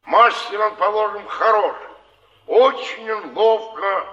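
Slow, distant, rueful lodger. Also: male voice, fairly high pitch, sharp tone, heavily acted. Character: rueful working man lodging in a flophouse. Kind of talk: theatrical stage acting. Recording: dull, distant archival stage recording, echoing hall.

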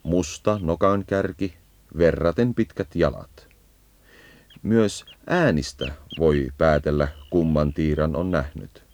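A man's voice: a bass voice, creaky, a little mellow, slight background noise. A man narrating a scripted educational birdsong guide, leading the listener as if on a field walk.

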